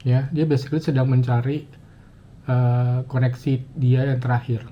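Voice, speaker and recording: A muffled, slightly dull recording with noticeable background noise carries a man's voice at a medium pitch. He walks through a demonstration casually, step by step, pausing often and thinking aloud as he goes. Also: level tone. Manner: halting, thinking aloud with frequent pauses. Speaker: motovlogger giving a gear tutorial. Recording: muffled, dull audio with clear ambient noise.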